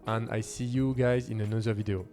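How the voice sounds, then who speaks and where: A man's voice: on the low side, steady tone, fairly quick, mellow, faint music behind. French-accented man hosting a vlog. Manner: non-native English phrasing. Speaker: language-learning video host. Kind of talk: casual talk to camera.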